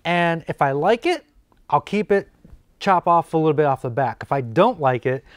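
A man's voice: a little high, moderate pace, no room sound. A man in his forties hosting a woodworking channel, explaining each step casually and thinking aloud as he works in an indoor workshop. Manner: relaxed, thinking aloud while working. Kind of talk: casual workshop how-to narration.

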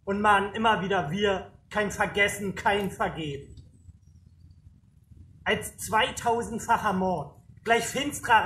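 A man reciting memorial poetry in a solemn, declamatory spoken-word style, with measured, rhythmic phrasing. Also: high voice, a bit bright, echoey room, poor audio. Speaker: poet reciting at a political memorial.

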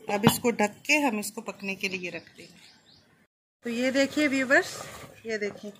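A fairly high-voiced woman, talking a home cook through a recipe step by step. Her delivery is calm and instructive, with faint background noise behind her. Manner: calm, explains each step while cooking. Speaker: cooking channel host.

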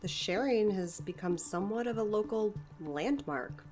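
Actress as enthusiastic female center director, mid-pitched and very animated, pitching ideas in an audio drama. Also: moderate pace, music in the background, close to an everyday voice. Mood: eager, persuasive. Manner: expansive, persuasive, eager to pitch ideas. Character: chatty, enthusiastic woman running a community center.

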